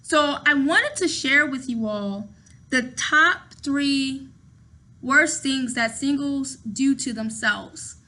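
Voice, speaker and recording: High-pitched woman, 30s, rambly vlog chat from home, keeps repeating herself. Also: slow, pushed and bright. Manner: chatty, rambling, repeats her words. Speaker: vlogger. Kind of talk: casual talk to camera. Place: at home in her apartment.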